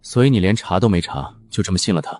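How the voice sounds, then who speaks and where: A man's voice: deep voice, animated, quick pace, a little mellow. A man voicing a composed, shrewd young man in an audio drama, speaking matter-of-factly. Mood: probing. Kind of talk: dubbed audio drama dialogue.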